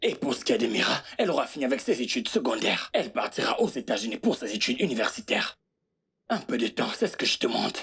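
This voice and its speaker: A woman's voice: extremely low pitch, very animated, quick pace, creaky, a bit bright, husky, full character voice. A woman dubbing a young man, the eldest brother of the family, who is defensive and pleads insistently. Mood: pleading. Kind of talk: French dub of a film.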